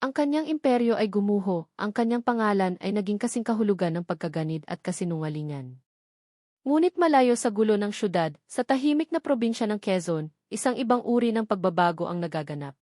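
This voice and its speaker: A woman with a Filipino accent reads a scripted romance story as narrator, with measured, expressive dramatic delivery. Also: mid-range voice, no room sound, clean studio sound.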